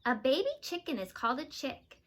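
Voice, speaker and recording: A woman's voice: high-pitched, animated, fairly quick, a bit bright. A woman teacher giving a read-aloud lesson to young children, explaining simply and asking them questions. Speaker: teacher reading aloud to students.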